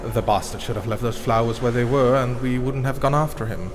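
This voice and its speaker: A man's voice: medium pitch, fairly quick, lightly acted. A man playing a disdainful, menacing vampire henchman in a fantasy audio drama, scornful and clinical. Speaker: voice actor. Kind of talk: scripted fantasy character dialogue. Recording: clearly noisy background hum.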